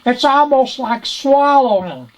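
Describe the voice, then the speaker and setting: A man voicing a high-pitched cartoon puppet character with a constricted, throaty voice and deliberate, emphatic delivery. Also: animated, full character voice.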